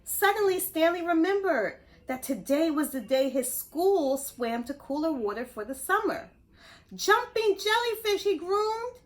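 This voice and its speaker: A woman reading a story aloud to young children, expressive, pausing to explain things and ask simple questions. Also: high-pitched. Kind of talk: animated read-aloud narration.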